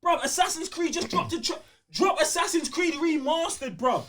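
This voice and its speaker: A man's voice: very high voice, normal speed, a bit bright. A man with a London accent hosting a gaming podcast, ranting in slangy, emphatic banter and repeating phrases for emphasis. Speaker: podcast host.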